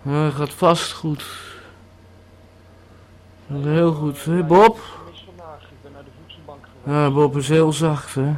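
A high-voiced actor as a dazed man in an audio play, pleading and insistent. He speaks haltingly, circling back to the same few phrases. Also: steady tone. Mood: dazed, uncertain. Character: dazed, pleading man in Marbella needing a lie-down. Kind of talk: improvised-sounding Dutch audio drama.

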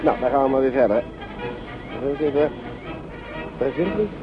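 A man's voice: mid-range voice, expressive, normal speed, music in the background, lightly acted. A man playing a worried, kindly English uncle shepherding young children in a radio drama, hesitant and repeating himself as he fusses. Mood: fussing, concerned. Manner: hesitant, repetitive, fussing gently over children. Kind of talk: naturalistic radio drama acting. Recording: dull, noisy old radio play recording.

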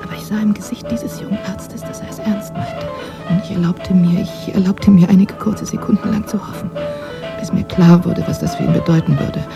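A frail older woman with a creaky, gravelly voice. She talks in a weary, halting monologue full of bitterness, in radio drama style, with music underneath. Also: lowish voice. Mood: sorrowful. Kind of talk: German radio drama acting. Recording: music behind the voice.

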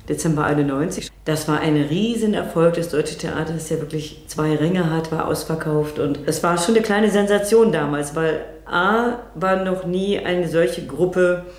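Low-pitched woman in a roomy echo. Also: darkish tone. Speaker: theatre director. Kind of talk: interview, recounting her work.

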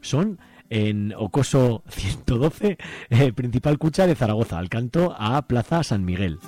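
A man presenting a radio magazine, reading out listings in a halting way with drawn-out phrase endings. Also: mid-range voice, lively intonation, dark tone, faint music behind.

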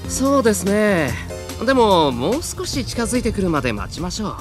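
Very animated, politely mocking fairy. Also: male voice, sits high, normal speed, soft background music. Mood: gleeful, mocking. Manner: polite speech with mocking amusement. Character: mischievous, sadistic male fairy, gleefully amused by humans. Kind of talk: anime-style audio drama acting.

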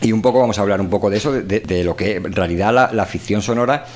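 A man with a European Spanish accent chatting colloquially as a podcast host, halting and repeating words as he searches for phrases. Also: lowish voice. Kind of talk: casual chat.

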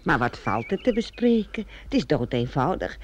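A woman's voice: sits low, sing-song, normal speed, slight background noise. An actress playing a doting, scheming butcher woman set on marriage in a radio play, coaxing and cooing endearments. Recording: dull old radio play recording.

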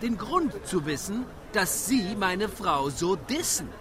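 High-pitched, animated aggrieved husband. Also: male voice, slow, a crowd in the background, full character voice. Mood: indignant. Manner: sarcastic, reproachful, emphatic. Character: aggrieved, jealous, indignant husband. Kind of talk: rhymed radio drama acting.

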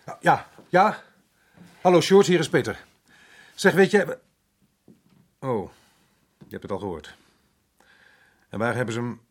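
Husky, brusque, animated colonial official. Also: male voice, mid-range voice, unhurried, pauses often. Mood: urgent. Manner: gruff, impatient, bossy. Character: brusque, impatient colonial resident and husband. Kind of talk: radio drama acting.

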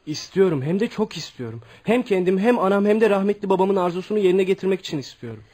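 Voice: mid-range voice, lively intonation, fast, warm tone, close to an everyday voice. A performer playing a polite, earnest teenage son from a poor family in a Turkish radio drama. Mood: earnest, downcast. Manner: respectful, earnest. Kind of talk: Turkish radio theatre acting.

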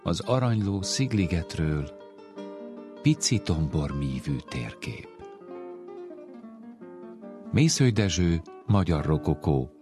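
A man recites verse in a literary style. Plucked guitar music is clearly heard behind him. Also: deep voice, sing-song, pauses often. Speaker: reader of poetry.

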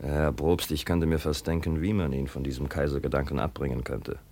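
An actor in a German radio play playing a middle-aged village farmer, hearty and calculating, with a deliberate manner. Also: male voice, a bass voice, little pitch movement, vocal fry, darkish tone, full character voice. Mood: scheming, subdued.

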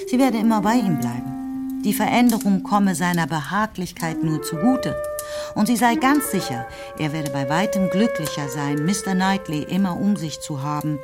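A woman narrating a literary radio play, telling the story in a measured, scripted way. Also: sits low. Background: instrumental music.

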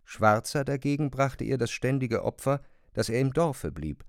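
A man's voice: medium pitch, expressive, normal speed, clean tone, no room sound, clean studio sound. A man reading literary narration for a radio play in pronunciation.

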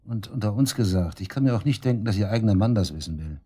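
A man's voice: fairly low pitch, animated, fast, mellow, breathy edge. An actor playing a brash, sarcastic murder suspect under police questioning in a radio drama, crude and defiant in his slangy talk. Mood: scornful, sarcastic. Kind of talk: naturalistic German radio drama acting.

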